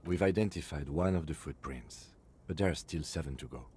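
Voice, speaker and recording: A man voicing a calm, reserved male police lieutenant in a video game, speaking in a measured, deliberate way. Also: rumbling low, husky, dry recording, pitched below the performer's own voice, exaggerated voice. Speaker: streamer reading a game character's lines.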